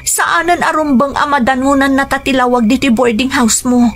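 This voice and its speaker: A woman's voice: high-pitched, fairly even intonation, moderate pace. An actress playing a young woman in love who pleads earnestly, in a radio drama. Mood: urgent.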